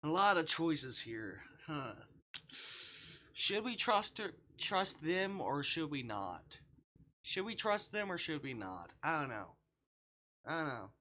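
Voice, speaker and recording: A man's voice: a little high, sing-song, slow, sharp tone, a little hiss or hum. A man live-streaming a game, reading a visual novel aloud dramatically with playful asides.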